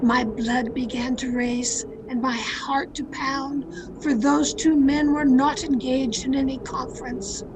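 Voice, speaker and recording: A woman's voice: high-pitched, level tone, unhurried, noisy background. A woman playing a thrill-seeking young woman who narrates her murder tale with dramatic, breathless intensity in old radio suspense style. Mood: excited, suspenseful. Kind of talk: 1940s radio suspense acting, scripted first-person narration. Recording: video-call radio play with music underneath. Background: dramatic music.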